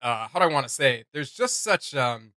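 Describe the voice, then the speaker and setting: An enthusiastic man hosts a reaction video in casual, slangy talk. His voice is bright and forward, and the recording is close and dry. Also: medium pitch, sing-song, normal speed, no echo.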